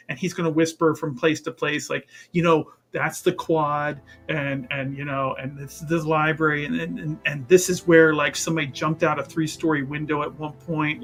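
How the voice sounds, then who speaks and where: A man improvising casual narration as a player in a tabletop roleplaying game, rambling and halting, often repeating words in bursts. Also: a little high, a bit bright.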